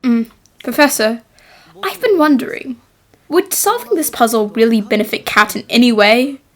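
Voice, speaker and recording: A woman as an earnest, high-voiced young boy apprentice. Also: expressive, normal speed, natural voice. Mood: concerned. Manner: polite, inquisitive, earnest. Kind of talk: animated fan dub acting.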